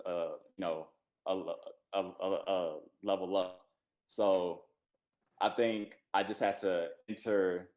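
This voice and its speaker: A man speaking as a film director in a casual interview, halting and repeating words as he thinks. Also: deep voice, a little mellow.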